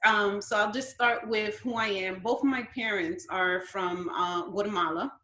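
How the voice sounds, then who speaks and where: A woman raised in Brooklyn whose was Spanish, speaking earnestly on a video call and pausing to search for words. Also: medium pitch, bright, forceful tone, roomy sound. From Brooklyn, New York. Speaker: guest.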